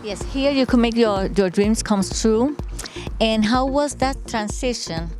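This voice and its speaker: A woman's voice: fairly high pitch, a little slow, over music. Enthusiastic Spanish-speaking woman hosting. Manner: warm, enthusiastic interviewer, switching into Spanish. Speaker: show host. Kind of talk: interview hosting.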